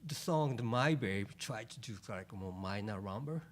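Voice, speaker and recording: A man from Japan with a Japanese accent, a pianist being interviewed in a music studio, talking haltingly and searching for words. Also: fairly low pitch, animated. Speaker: guest pianist. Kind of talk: casual interview chat.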